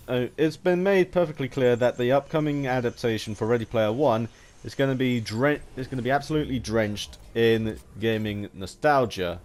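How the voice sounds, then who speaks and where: A man giving unscripted, rambling commentary as a gaming video host, halting and often restarting his sentences mid-word. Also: medium pitch, a little mellow. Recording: home recording with background noise.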